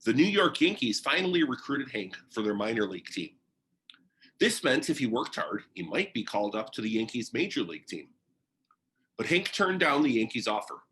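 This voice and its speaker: A man reading a children's biography aloud in deliberate, short phrases with pauses, like a teacher sharing a picture book. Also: mid-range voice, very animated, a bit bright, slight background noise.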